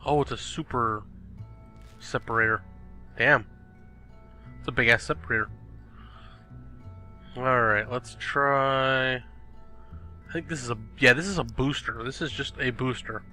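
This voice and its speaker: A young man talks through a game in a loose, chatty way, thinking aloud and blurting out exclamations. He sounds a little sniffly, and his voice is bright and forceful. Faint music plays behind him. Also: medium pitch, a little slow, long pauses. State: sniffly, recovering from illness. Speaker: gaming video host. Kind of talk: casual gameplay commentary. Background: background music.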